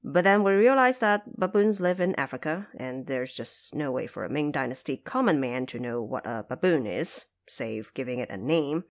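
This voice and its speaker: A woman's voice: rumbling low, expressive, normal speed, few pauses. A woman hosting production notes, reading a scripted explanation. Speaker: host of production notes for an audio drama series.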